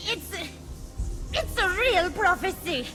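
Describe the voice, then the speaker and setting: An insecure, childlike goddess in a video game cutscene. Her very high voice swings widely in pitch as she pleads and halts. Music plays clearly behind her. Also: animated, slow, bright, forceful tone, heavily acted. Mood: hesitant, unsure.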